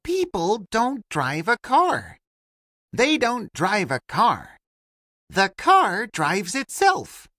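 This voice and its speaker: A woman with a hugely animated intonation reads scripted lesson dialogue. She keeps each simple sentence separate and slow. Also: mid-range voice, no echo, studio quality.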